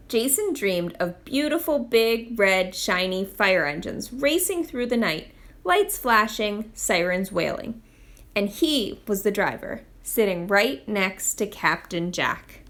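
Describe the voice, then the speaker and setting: A woman reading a children's picture book aloud in an expressive storytime style. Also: mid-range voice. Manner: expressive read-aloud delivery. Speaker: storytime reader, literacy program manager.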